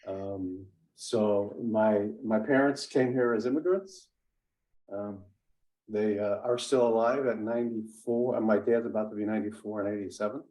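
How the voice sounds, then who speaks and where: A man in his sixties with a fairly low voice tells his life story as an interview guest. Italian was his first language. He speaks haltingly, restarting sentences and leaning on fillers, and now and then he chuckles at his own remarks. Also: dark tone, low-quality recording. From United States. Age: 60s. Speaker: interview guest, business executive. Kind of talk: conversational storytelling.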